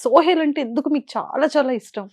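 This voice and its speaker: A woman's voice: high voice, quick pace, crowd noise. A woman interviewer hosting a casual celebrity chat, speaking Telugu with some English.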